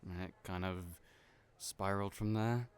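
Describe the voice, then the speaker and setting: A man acting in an audio roleplay as a kind, gentle, slightly awkward friend, hesitant and understated in manner. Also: deep voice, fairly even intonation, a little slow, clean tone. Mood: guarded. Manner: hesitant, soft-hearted, understated. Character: kind, awkward, gentle male friend, guarded about past heartbreak.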